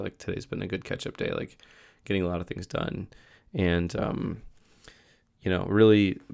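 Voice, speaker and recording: A man's voice: low voice, creaky, a little mellow. A young man talking casually in a video diary, losing his voice from a cold and rambling with many fillers and restarts. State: losing his voice, a little sick. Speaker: vlogger.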